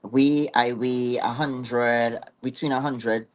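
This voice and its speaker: Soft-spoken Trinidadian man in his thirties. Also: medium pitch, steady tone, slow pace. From Trinidad and Tobago. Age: 30s. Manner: soft-spoken, short answers, hesitant with fillers. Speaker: dating show guest.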